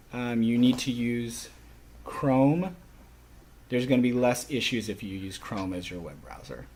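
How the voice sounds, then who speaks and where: A man speaking as a college instructor, explaining course rules to a class in an instructional way with frequent short pauses. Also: mid-range voice, darkish tone. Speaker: college sign language instructor.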